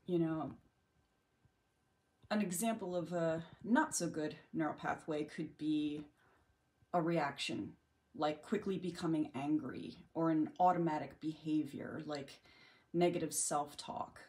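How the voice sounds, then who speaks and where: A woman leading a guided meditation in a gentle, unhurried manner with long pauses. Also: low voice, steady tone. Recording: music bed under the voice. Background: background music.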